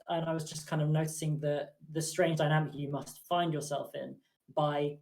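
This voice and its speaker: A man who is a psychotherapist, speaking in a measured, reflective way on a podcast. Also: fairly high pitch, rough recording. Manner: measured, thoughtful, even-toned. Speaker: psychotherapist and poker player.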